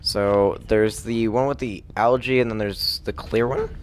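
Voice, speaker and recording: A male tabletop player with a lowish voice. He talks casually and haltingly as he states his moves, with a little room noise around him. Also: steady tone. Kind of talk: casual game-table chat. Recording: noisy room ambience.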